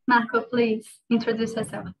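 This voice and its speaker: A woman's voice: a little high, completely flat delivery, normal speed, breathy edge, low-quality recording. A woman moderating an event over a video call. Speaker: session moderator.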